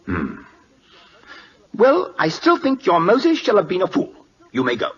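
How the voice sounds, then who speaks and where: An imperious, temperamental Siamese king in an old radio drama, on a muffled vintage recording. His highish voice swoops dramatically as he speaks pompous, clipped broken English with a Siamese accent. He is hungry to know everything and used to being obeyed. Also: wildly swooping pitch, a little slow, a bit bright, heavily acted. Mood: insistent, puzzled. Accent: put-on Siamese. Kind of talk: 1940s American radio acting.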